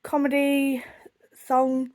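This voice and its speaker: A young British woman with a fairly high voice talks casually to her viewers. She rambles in a chatty, self-deprecating way, often sidetracking herself as she tells her story. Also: monotone, slow pace, darkish tone. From United Kingdom. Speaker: video blogger.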